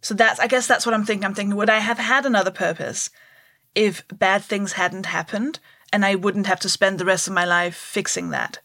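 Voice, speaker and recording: A woman in her thirties from Denmark, a comedian speaking as a podcast guest. Her delivery is wry and self-deprecating, with quick asides, at a mid-range pitch. The recording is dry and close. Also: steady tone, a bit bright, no echo. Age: 30s. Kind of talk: candid interview conversation.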